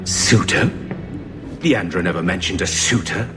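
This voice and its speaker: A man's voice: deep voice, measured pace, music in the background. An actor playing an anxious, stubborn man in a fantasy game, worried and insistent. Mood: suspicious. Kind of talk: dramatic game acting.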